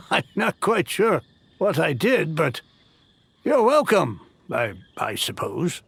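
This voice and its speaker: Flustered, high-pitched, creaky struggling writer. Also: male voice, very animated, slow pace, fairly bright tone, no room sound, full character voice. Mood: sheepish. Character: flustered, self-deprecating, fussy aspiring male novelist. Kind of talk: animated game voice acting.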